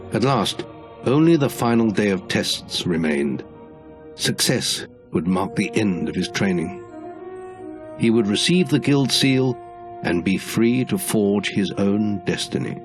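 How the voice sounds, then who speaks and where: Fantasy game mentor: fatherly old master, low-voiced, solemn and instructive, strong music underneath. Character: aged, fatherly male guild master and storyteller. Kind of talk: scripted fantasy game dialogue and narration.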